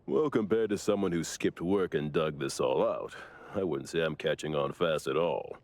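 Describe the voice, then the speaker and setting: An actor playing a stern, formal investigator in an anime video game, with a measured, deadpan delivery. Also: male voice. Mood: dry disapproval. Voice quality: gravelly low voice. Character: stern male colleague, formal, dryly disapproving investigator.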